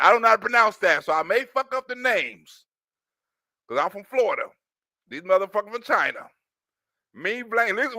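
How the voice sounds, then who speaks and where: A man giving loose, animated comic commentary on a clip, laughing often and acting out little scenes. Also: high voice, no echo, studio quality. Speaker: streamer reacting to a news clip.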